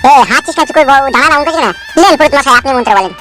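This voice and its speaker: A woman's voice: sits high, racing, a bit bright, faint music behind. A woman voicing an eager, obedient bridegroom in a comedy cartoon, dutifully repeating vows.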